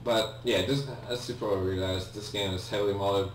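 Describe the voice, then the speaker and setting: Young polish guy talking through a sub game, lots of ums, deep voice, echoey room far from the mic, faint hum. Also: steady tone, brisk. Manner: hesitant, many ums and restarts, apologetic. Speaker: let's play gamer. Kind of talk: casual gameplay commentary.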